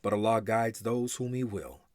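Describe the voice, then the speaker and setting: A man reading scripture aloud in a solemn, formal and measured recitation style. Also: on the low side, no room sound, clean studio sound. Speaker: scripture reader.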